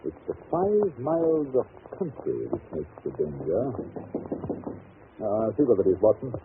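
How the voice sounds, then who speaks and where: A man playing a shrewd, authoritative British detective with deliberate, theatrical delivery, in an old-time radio drama. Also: on the low side, wildly swooping pitch. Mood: ominous. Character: famous detective, grave, authoritative, shrewd, commanding. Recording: tinny, hissy vintage radio recording.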